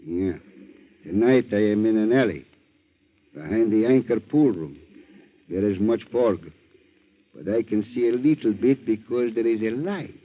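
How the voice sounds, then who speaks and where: A man's voice: fairly low pitch, expressive. Sly fisherman, tinny old radio. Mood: slyly menacing. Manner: broken English, insinuating, drawn-out threats. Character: sly, wheedling fisherman blackmailer, falsely friendly. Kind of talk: 1940s radio acting. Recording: tinny, narrow-band 1940s radio recording.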